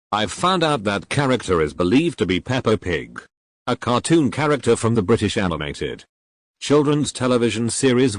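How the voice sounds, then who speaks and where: A man's voice: on the low side, no echo. A man playing an earnest eyewitness who gives a stiff, methodical recorded statement from a script.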